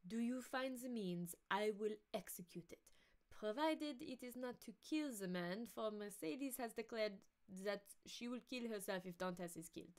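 A woman's voice: a little high, normal speed, close to an everyday voice. A woman voicing a jealous young man in a read-aloud novel, dropping into hissed whispers.

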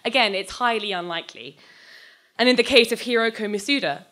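A young adult woman giving a live, scripted dramatic reading of fiction on stage into a microphone, moving between measured narration and conversational dialogue. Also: on the high side, bright, forceful tone. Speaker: reader of a short story. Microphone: handheld-type microphone on a stand, close.

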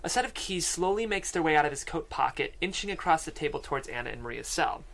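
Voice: medium pitch, expressive, quick pace, vocal fry, a bit bright. A narrator with a mid-range voice reads out a screenplay at a table read. The delivery is scripted narration, with scene headings and action lines, spoken into a studio microphone. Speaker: stage-direction reader at a table read. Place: podcast studio with several people.

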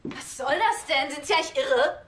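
A woman's voice: high voice, lively intonation, normal speed. A woman playing an indignant, fiery adult daughter in a TV crime comedy. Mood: outraged. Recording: roomy echo, faint noise.

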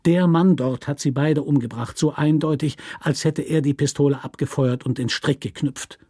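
A man as an insistent, husky gentleman lawyer. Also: on the high side, moderate pace, no echo, clean studio sound. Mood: accusing, insistent. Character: determined, principled lawyer uncle, accusing and insistent.

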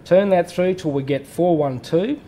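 A man's voice: high-pitched, moderate pace, dark tone, a little hiss or hum. A man in a workshop explaining a welding machine step by step, plain-spoken with fillers and restarts. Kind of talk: hands-on technical explanation.

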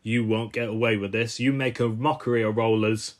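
A man's voice: fairly low pitch, little pitch movement, brisk, close to an everyday voice. A bullying steamroller from a children's cartoon, put on by a man. He blusters and boasts, picking fights with obsessive, combative self-importance. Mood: belligerent. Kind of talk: amateur cartoon character voice reel.

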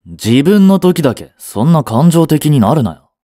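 Sulky young boyfriend played by a male actor: curt, skeptical, widely varied pitch, dry close sound, romance audio drama. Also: big pitch swings, unhurried, mellow, no echo. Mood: irritated, weary. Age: young adult. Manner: blunt, muttering, sighing.

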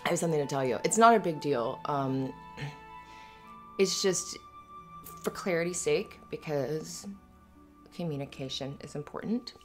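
A woman playing an affectionate, giggly young roommate and girlfriend in an intimate roleplay audio, talking in a halting, rambling, conversational way. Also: deep voice, soft background music. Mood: hesitant, sincere.

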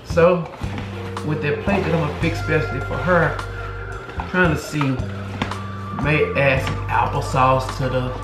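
A man's voice: on the high side, fairly even intonation. Laid-back man in an echoey kitchen. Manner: laid-back, chatty, drawn-out filler words. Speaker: vlogger cooking at home. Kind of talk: casual cooking vlog, talking to camera. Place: home kitchen, indoors. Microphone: camera on the counter, several steps away. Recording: roomy kitchen echo, distant camera mic, loud background music.

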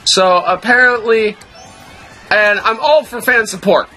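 A man's voice: sits high, a little slow, bright, forceful tone, soft background music. A man co-hosting a casual gaming livestream, playful and teasing, chatting with viewers and his friend while racing. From Memphis. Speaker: livestream co-host.

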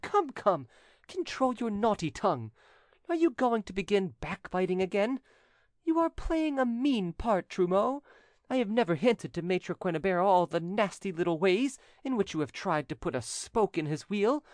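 A man acting a high-voiced, haughty widow. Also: big pitch swings, moderate pace, darkish tone, no room sound, clean studio sound. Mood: scolding. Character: proud, self-satisfied widow, haughty and scolding.

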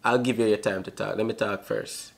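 A man's voice: fairly low pitch, fairly even intonation, fairly quick, gravelly. A man in his twenties with a put-on Jamaican accent, cracking crude flirty jokes at strangers on a video chat in a small bedroom. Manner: brash, crude, teasing, talks over people. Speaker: vlogger on a video chat app.

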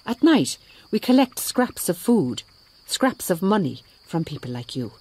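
A woman playing a shrewd, devout Mother Superior in a radio drama, speaking in a measured, dryly ironic way. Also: fairly low pitch, big pitch swings, a little mellow, husky, full character voice. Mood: firm, shrewd. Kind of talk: radio drama acting and narration.

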